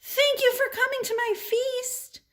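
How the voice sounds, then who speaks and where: A woman voicing a timid, gentle young queen in a children's puppet show, meek and plaintive. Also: extremely high pitch, flat delivery, a little slow, voice pushed up. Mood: earnest.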